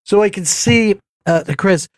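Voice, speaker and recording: A man hosting a radio talk show, telling a rambling story with halting restarts into a studio microphone. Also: sits high, no echo. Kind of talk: casual radio chat.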